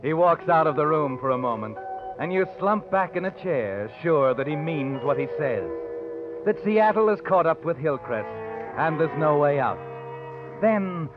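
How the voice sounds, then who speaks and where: An actor playing an ominous, knowing narrator of a 1940s radio suspense story. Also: male voice, on the high side, natural voice. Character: ominous, knowing male storyteller addressing the guilty. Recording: tinny old radio broadcast with music.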